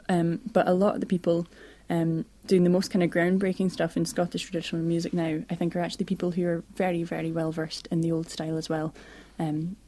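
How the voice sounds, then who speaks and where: A woman's voice: lowish voice, warm tone. A woman musician answering interview questions in a thoughtful, hesitant way. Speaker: musician guest.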